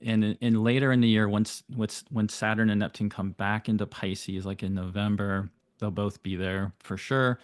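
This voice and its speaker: Man, low voice, dry close recording, astrologer guest, thoughtful explainer. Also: level tone, no room sound. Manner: thoughtful, flowing explanations with rapid bursts. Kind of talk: explanatory interview talk.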